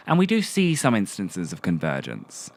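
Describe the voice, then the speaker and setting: A man's voice: low voice, wildly swooping pitch, normal speed, vocal fry. A man narrating a scripted video essay in a contemplative, literary manner with theatrical phrasing. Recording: music behind the voice. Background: music.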